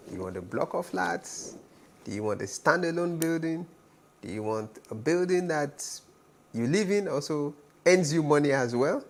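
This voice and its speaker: A man, an architect guest in a TV studio, explaining building choices through a string of questions. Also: mid-range voice. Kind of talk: expert explanation in interview.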